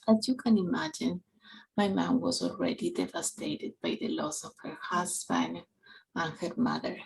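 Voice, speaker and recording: Peruvian lady in her 40s telling her story at church, lowish gravelly voice, goes soft and whispery sometimes. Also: wildly swooping pitch, slight background noise, poor audio. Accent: Peruvian Spanish. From Peru. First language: Spanish. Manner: slow, earnest and halting, with soft whispered stretches. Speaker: parish council member giving a reflection. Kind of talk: personal testimony for a novena.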